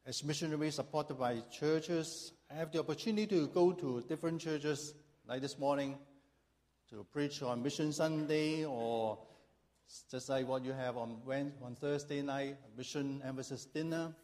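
A man's voice: fairly high pitch, normal speed, a little mellow. A middle-aged male pastor from Hong Kong preaching in a church, reading scripture and then telling a humorous personal story with frequent fillers.

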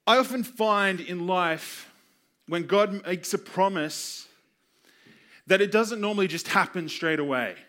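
A man preaching a sermon with personal stories, earnest and emphatic, using repetition and deliberate pauses. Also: high voice, sharp tone. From Australia. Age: 20s. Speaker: youth pastor preaching.

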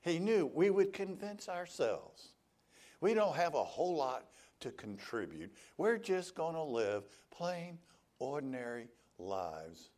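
Lively storytelling male preacher. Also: on the high side, slow pace. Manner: storytelling, voicing quoted people. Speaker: pastor. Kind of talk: sermon.

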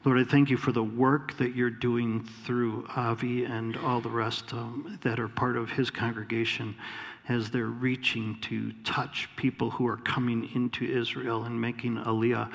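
A man, a pastor, welcoming a guest speaker to his congregation and then praying over him, speaking in a halting way with many fillers. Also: medium pitch, little pitch movement, vocal fry, big-room sound. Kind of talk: church welcome and prayer. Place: church sanctuary.

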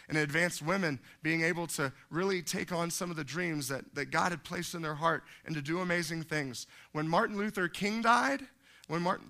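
Male preacher, bright and higher-pitched, slightly crackly and hoarse, delivering a conversational, emphatic sermon with rhetorical questions. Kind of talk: sermon to a church congregation.

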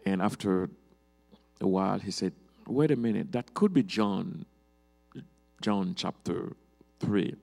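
A man with a Haitian accent, speaking English as a second language, giving an informal church testimony as a guest pastor in a halting, hesitant way. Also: deep voice, gravelly, darkish tone, soft background music. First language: Haitian Creole or French. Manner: halting, hesitant, with repeated restarts.

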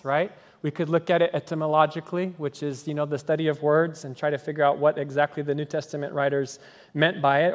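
Male pastor with a high voice, preaching animatedly in a church with long reverb. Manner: animated preaching, tag questions and sung snippets. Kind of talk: sermon. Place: church sanctuary.

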